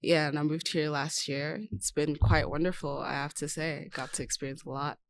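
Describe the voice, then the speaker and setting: A woman's voice: low-pitched, flat delivery, normal speed. A young woman from Zimbabwe, a guest in a casual interview, talking in a chatty way with many "like" fillers. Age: young adult.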